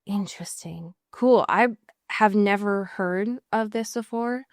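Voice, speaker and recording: A woman's voice: medium pitch, slow, no room sound, studio quality. A woman co-hosting a podcast in casual, conversational chat. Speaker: podcast co-host.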